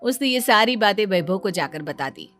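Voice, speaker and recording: A woman narrating a scripted bedtime cartoon story in a calm storytelling manner. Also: mid-range voice, normal speed.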